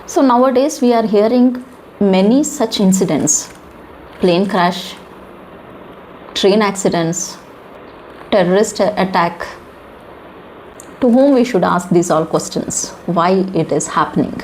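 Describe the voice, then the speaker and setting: Slow-talking Indian woman commenting on news. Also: mid-range voice, a little mellow, rough recording. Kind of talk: informal news commentary to viewers. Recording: clear background noise.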